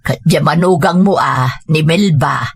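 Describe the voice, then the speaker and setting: A woman's voice: deep voice, unhurried, gravelly, slightly rough, full character voice. A woman playing a scolding, worried grandmother in a village family audio drama, speaking with an Ilocano accent in a nagging, reproachful way. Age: older.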